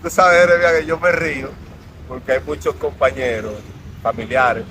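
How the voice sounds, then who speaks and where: A man's voice: mid-range voice, moderate pace, a bit bright, poor audio. A man, a guest telling his life story in an earnest, reflective way. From Dominican Republic. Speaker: interview guest. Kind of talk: personal storytelling in an interview. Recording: outdoor traffic noise.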